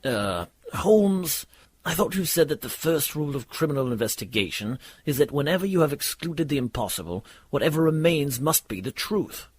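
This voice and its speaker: Exasperated, pedantic gentleman's companion male actor, comic radio play; lively pitch swings, raspy edge. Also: normal speed. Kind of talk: comic audio drama acting.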